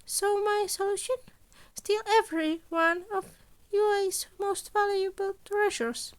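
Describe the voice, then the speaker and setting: A woman voicing a small male mammal school principal, formal, deliberate and calculating, in an audio story. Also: extremely high pitch, fairly even intonation, pitched above the performer's own voice. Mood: scheming.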